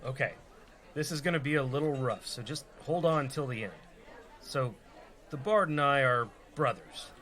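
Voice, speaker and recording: A man plays a reluctant rogue in a radio comedy. His voice is mid-pitched, and he comes across as exasperated, evasive and pragmatic. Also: moderate pace, fairly bright tone, a faint crowd, natural voice. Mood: defensive. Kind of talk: comedy radio play acting.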